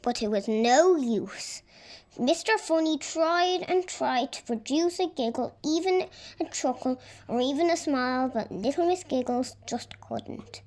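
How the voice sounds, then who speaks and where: Slow, high-voiced woman reading a storybook. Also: animated, darkish tone. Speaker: storybook reader. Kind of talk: scripted narration of a children's story.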